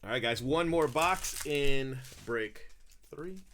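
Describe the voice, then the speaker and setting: A man's voice: mid-range voice, lively intonation, slow, a bit bright, slight background noise. A man hosting a live trading-card break, chatting casually and calling out each card as he opens packs. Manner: chatty, calls out card names while opening packs.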